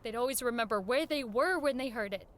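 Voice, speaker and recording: A woman's voice: a little high, brisk, a little hiss or hum, close to an everyday voice. An actress playing an anxious, earnest woman who recounts a horrifying ordeal in an intense, theatrical audio drama style. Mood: earnest, apologetic. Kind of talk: dramatic first-person horror narration with acted dialogue. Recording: music under the voice. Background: dramatic music.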